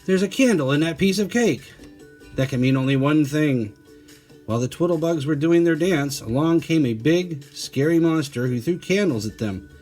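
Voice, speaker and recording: A man voicing a pompous, excitable male detective from a children's storybook, grandly sure of himself and full of exclamations. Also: a little high, moderate pace, soft background music, voice pushed up, close to an everyday voice. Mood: excited. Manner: grandiose, exclamatory, self-assured.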